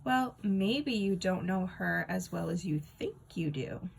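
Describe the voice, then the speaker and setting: A woman's voice: on the low side, expressive, slow, vocal fry, slight background noise, exaggerated voice. A woman voicing a smug, mocking schoolgirl rival in a storybook, sneering and theatrical. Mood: smug challenge.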